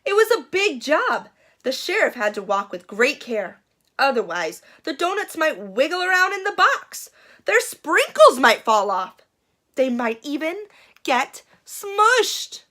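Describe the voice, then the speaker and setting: A woman storyteller reading aloud to children with playful drama. Her voice is bright and fairly high, swooping widely as she takes her time to build suspense. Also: big pitch swings, measured pace. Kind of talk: expressive picture-book read-aloud.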